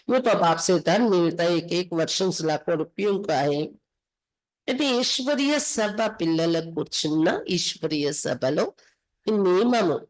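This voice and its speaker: A woman's voice: lowish voice, low-quality recording. A woman from India with a Telugu accent, reading scripture aloud and explaining it instructively as a spiritual teacher.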